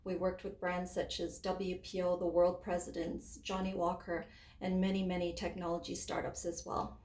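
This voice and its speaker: A woman's voice: fairly low pitch, little pitch movement, normal speed, darkish tone, roomy sound. A woman in her forties, raised between Hong Kong and Canada, giving a conversational webinar presentation with frequent fillers and restarts. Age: 40s.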